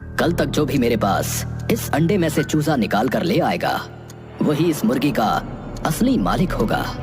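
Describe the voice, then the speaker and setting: A man's voice: mid-range voice, big pitch swings, unhurried. A man playing a stern, authoritative village headman and father-in-law in a cartoon moral story. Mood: firm. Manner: authoritative, firm. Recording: loud music under the voice.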